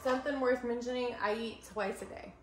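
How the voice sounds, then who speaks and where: A woman's voice: on the high side, steady tone, fairly quick. Chatty young woman in an echoey kitchen. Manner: chatty, self-mocking asides while cooking. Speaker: vlogger. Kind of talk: casual cooking vlog chat. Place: home kitchen, indoors. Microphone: camera mic from a few feet away. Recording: echoey kitchen, faint cooking noise.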